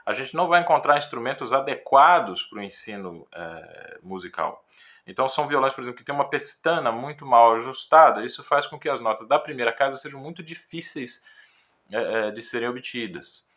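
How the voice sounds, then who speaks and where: A man with a Brazilian Portuguese accent, a guitar teacher giving a casual, thoughtful talk with frequent pauses and fillers. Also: mid-range voice, pushed and bright. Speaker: guitar teacher reviewing a book. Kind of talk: casual spoken commentary.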